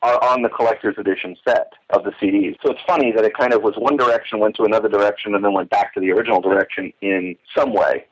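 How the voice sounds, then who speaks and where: A middle-aged man giving an enthusiastic, detailed, digressive account in a conversational interview, as a longtime fan reminiscing. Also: fairly low pitch. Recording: dull lo-fi phone or call audio.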